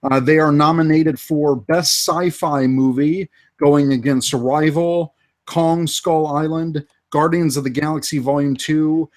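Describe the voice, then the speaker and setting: A man co-hosting a live fan podcast, casually going through toy and movie news with many pauses and fillers. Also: fairly high pitch, fairly even intonation. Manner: unhurried, lots of uh fillers. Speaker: podcast co-host.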